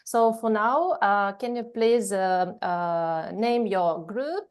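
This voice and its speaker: A woman hosting an online orientation over a video call, talking casually with many pauses and fillers. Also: mid-range voice, clear, resonant tone.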